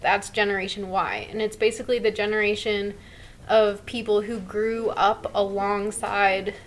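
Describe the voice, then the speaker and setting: A woman's voice: medium pitch, fairly even intonation, normal speed. A woman in her thirties co-hosting a casual podcast chat, talking earnestly and upbeat with a lot of "like". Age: 30s. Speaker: podcast co-host.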